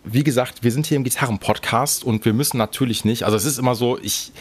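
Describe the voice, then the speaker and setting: Chatty Ruhr-accented podcast host. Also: male voice, medium pitch, quick pace. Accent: Ruhr German. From Ruhr area, Germany. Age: 30s. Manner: chatty, loose, many fillers. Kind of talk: casual podcast interview.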